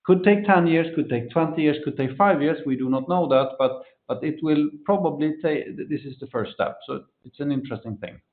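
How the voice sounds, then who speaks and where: A man speaking as a company executive, answering questions off the cuff on an investor call, often halting and repeating words. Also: on the high side. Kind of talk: unscripted answers on an earnings conference call. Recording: roomy echo, dull band-limited conference call audio.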